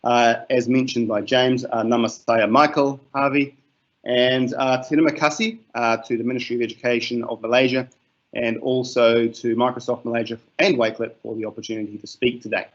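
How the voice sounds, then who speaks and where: A man's voice: medium pitch, fairly quick. New Zealand man presenting a webinar. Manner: relaxed, with many fillers. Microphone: video call. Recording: muffled online call audio.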